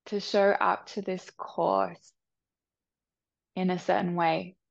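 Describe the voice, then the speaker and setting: A woman leading a guided meditation with soft, soothing instructions. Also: fairly low pitch, flat delivery, gravelly, dry recording, muffled recording. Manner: soft, slow, soothing instructions with long pauses.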